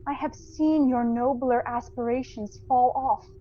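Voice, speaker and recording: Sorrowful young woman, fairly high. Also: steady tone, muffled recording, a little hiss or hum. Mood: sad, resigned. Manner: gentle, measured, earnest. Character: sorrowful, dignified young fiancée releasing her beloved. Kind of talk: theatrical audio drama acting.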